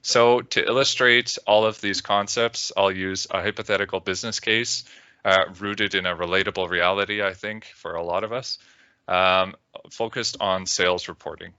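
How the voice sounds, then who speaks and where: A man from Canada with a Canadian accent, giving a measured technical presentation on a video call with occasional "uh"s. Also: deep voice, steady tone, bright, forceful tone, clear, resonant tone, no room sound, dull audio. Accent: Canadian English. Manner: measured, deliberate, with filler "uh"s. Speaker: conference speaker.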